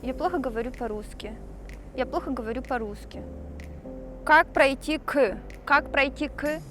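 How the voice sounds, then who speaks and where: A woman teaching phrases in a slow lesson style, deliberately repeating each one. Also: sits high, a bit bright, soft background music. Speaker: language teacher demonstrating phrases.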